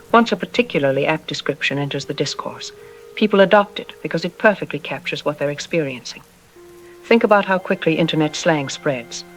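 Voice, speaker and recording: A woman playing a self-assured psychologist on a radio drama interview, explaining in an articulate, didactic and calmly dismissive way. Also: low-pitched, lightly acted, rough recording. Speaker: expert interview guest. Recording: music playing under the voice. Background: background music.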